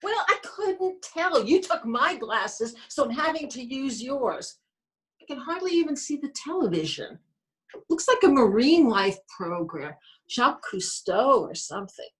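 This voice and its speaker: Woman playing an exasperated old wife, high swooping voice, slow delivery, grumbling to herself, stage comedy. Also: sing-song, low-quality recording. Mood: grumbling, fussing. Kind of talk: stage play reading over video call.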